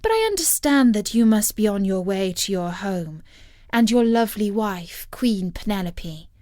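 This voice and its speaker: A woman's voice: medium pitch, sing-song, normal speed, warm tone, no echo, studio quality, lightly acted. A woman voicing a beautiful, seductive witch from a storybook, wheedling and commanding in a theatrical way. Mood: wistful, warning. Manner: theatrical, coaxing. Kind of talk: storybook character voice.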